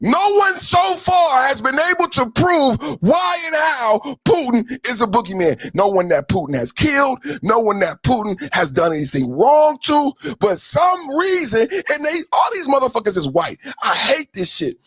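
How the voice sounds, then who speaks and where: A man's voice: extremely high pitch, big pitch swings, normal speed, few pauses, fairly bright tone, no echo, studio quality. An American man giving heated, profane political commentary as a podcast host, repeating himself emphatically. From San Francisco, United States.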